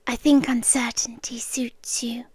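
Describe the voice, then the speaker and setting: Slow, hushed British yandere girlfriend, acted by a woman. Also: fairly high pitch, flat delivery, slightly rough, dry recording. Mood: tender, ominous. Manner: hushed, deliberate, softly menacing, tender. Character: soft-spoken obsessive girlfriend stalker, calm, coolly intense, yandere. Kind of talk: intimate girlfriend roleplay ASMR, half whispered.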